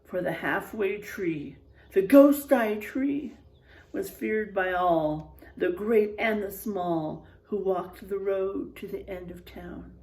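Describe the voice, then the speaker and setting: A woman teacher reading a picture book aloud to her first-grade class, gently asking them questions along the way. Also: medium pitch, slow, poor audio. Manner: animated, gentle, asks the children questions.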